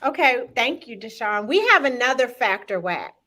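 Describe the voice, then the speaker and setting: A woman's voice: on the high side, expressive, moderate pace, pushed and bright. A woman hosting a live-streamed talk show, speaking directly to viewers in an upbeat, engaging way. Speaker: host.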